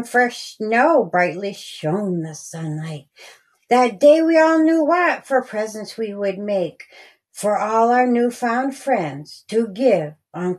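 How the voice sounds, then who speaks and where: A woman narrating a rhyming children's story in a deliberate, singsong storytelling manner. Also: medium pitch, darkish tone, breathy edge. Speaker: author reading own story.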